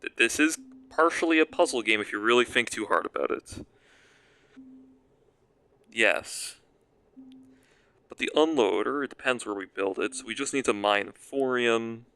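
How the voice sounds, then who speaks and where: A young man with a raspy voice, casually commenting on a factory-building game as he plays and rambling, self-mocking, while he thinks aloud. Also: mid-range voice, a little slow, long pauses, bright, forceful tone. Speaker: gaming commentator.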